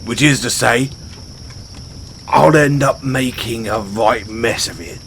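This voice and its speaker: A British man voicing a dim, sheepish orc barbarian in a fantasy audio roleplay, speaking in a halting, blunt, stammering way. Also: medium pitch, fairly bright tone, a little hiss or hum. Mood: defensive, grumbling. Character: gruff but sheepish, dim orc barbarian adventurer, adult male. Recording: outdoor night ambience with crickets.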